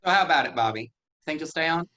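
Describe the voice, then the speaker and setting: A man's voice: fairly high pitch, lively intonation, fast talker, bright, forceful tone, dry recording, dull audio. A man reading the part of a terse, defiant teenage farm son. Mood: sullen. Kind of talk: staged play reading.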